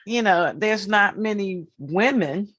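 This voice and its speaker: A woman in her fifties hosting an interview, talking in a slow, emphatic way and repeating her points. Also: mid-range voice, animated, a bit bright, breathy edge. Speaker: podcast host. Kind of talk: casual interview.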